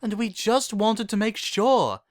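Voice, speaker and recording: A man's voice: high-pitched, measured pace, dry recording, clean studio sound, pitched above the performer's own voice. A man voicing a placating middle-aged female unicorn noble, explaining herself in a storybook reading.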